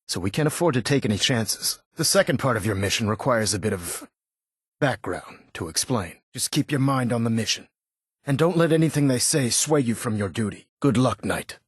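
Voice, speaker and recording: A stern, husky military commander. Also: male voice, medium pitch, expressive, dry recording, studio quality. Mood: commanding. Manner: formal, authoritative, measured. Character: stern, commanding, zealous military leader, a man. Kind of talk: video game dialogue acting.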